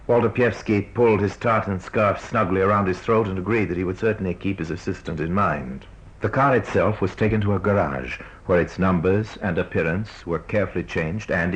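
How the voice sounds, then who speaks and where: A man acting as the grave, wry narrator of an old-time radio crime drama, speaking in measured storytelling style. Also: sits low, slightly rough. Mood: wry, ominous. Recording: dull old radio recording.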